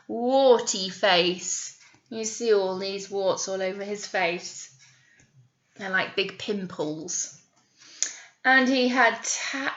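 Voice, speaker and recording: A woman teacher reading a picture story aloud to young children, drawing out key words and pausing to ask them questions. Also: mid-range voice, a bit bright, muffled recording. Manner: exaggerated, drawn-out words with questions to the listeners. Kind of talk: animated read-aloud storytelling with asides to children.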